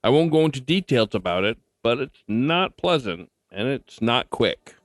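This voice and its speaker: A man's voice: medium pitch, big pitch swings, normal speed, dry recording. A man hosting a podcast with a scripted delivery, recorded close on the mic. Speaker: podcast host.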